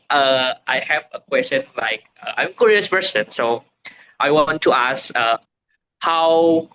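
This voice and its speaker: A man interviewing a fellow student over a video call, asking questions haltingly and searching for words. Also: fairly high pitch, sharp tone, a little hiss or hum, low-quality recording. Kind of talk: casual interview chat.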